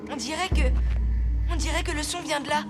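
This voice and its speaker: A woman's voice: high voice, a little fast, close to an everyday voice. A woman voicing a brave, stubborn young boy hero in a cartoon dub. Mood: determined, curious. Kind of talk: animated series dubbing. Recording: music behind the voice.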